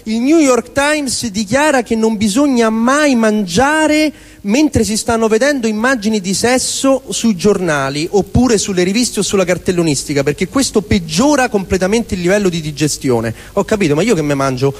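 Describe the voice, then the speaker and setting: A man's voice: high-pitched, animated, moderate pace, hardly any pauses. A man with a Roman accent performing a rambling, exasperated comic monologue as if talking to himself. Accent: Roman Italian. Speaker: stage performer. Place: live performance venue. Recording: live venue with long reverberation.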